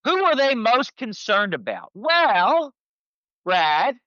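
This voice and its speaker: Brash american guy from philly, high smooth voice, casual guest talk, bit muffled but dry. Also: big pitch swings, slow pace, pushed and bright, dry recording. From Philadelphia, United States. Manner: brash, sarcastic, salty. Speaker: guest, publicist and commentator. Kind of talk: casual talk, political commentary.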